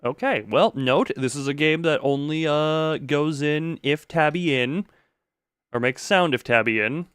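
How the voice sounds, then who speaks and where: A man's voice: fairly high pitch, unhurried, fairly bright tone, clean tone, dry recording. A man chatting casually and goofily as a game streamer, rambling and often interrupting himself. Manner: goofy, rambling, self-interrupting. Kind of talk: casual livestream chat while setting up a game.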